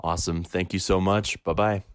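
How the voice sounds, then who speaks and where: A young adult interviewer asking scripted quick-fire questions in a polite, upbeat way. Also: low voice, steady tone, a crowd in the background.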